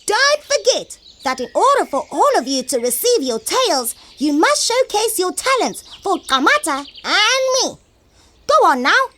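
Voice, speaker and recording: A woman's voice: extremely high pitch, big pitch swings, measured pace, a bit bright, slight background noise, full character voice. A woman playing a vain, bossy, mocking little animal in a comic audio play for children, teasing and theatrical. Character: bossy, mocking messenger animal, vain know-it-all show-off.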